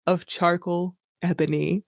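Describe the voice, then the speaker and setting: A woman's voice: on the low side, flat delivery, unhurried, creaky, no room sound. A woman hosting an amused comic reading aloud, giggly and mock-dramatic, adding her own commentary. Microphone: online voice call. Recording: dry voice chat audio.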